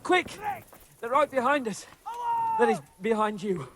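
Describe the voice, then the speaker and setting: An actor playing a grumbling, sarcastic nobleman on a raid in a medieval video game, exasperated and quick to swear. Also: high voice, animated, heavy background noise. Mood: panicked complaining. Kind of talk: dramatic video game cutscene acting.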